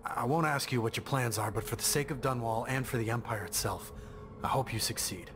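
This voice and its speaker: A man playing a sincere newspaperman in a video game. He sounds earnest and apologetic, with a slightly halting delivery at a middle pitch. Clear noise sits behind him. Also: lightly acted. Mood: contrite, earnest. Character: earnest, contrite male newspaperman.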